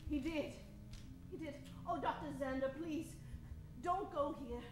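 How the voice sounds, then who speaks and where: An actress playing a plucky, anxious young adventuress in breathless, hesitant old-time radio melodrama. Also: female voice, high voice, measured pace. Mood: dramatic worry. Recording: roomy stage recording, music underneath.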